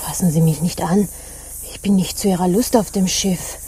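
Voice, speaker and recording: An actress playing a touchy, prim female pirate in a radio drama, formal, halting and indignant. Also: fairly low pitch, dark tone, slight background noise, natural voice. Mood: guarded, offended. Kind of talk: German radio drama acting.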